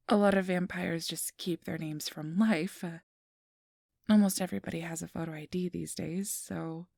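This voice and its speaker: A woman playing a friendly, easily flustered registration clerk in a soft-spoken ASMR roleplay, gentle, hesitant and reassuring. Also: fairly low pitch, no echo, clean studio sound. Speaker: interviewer.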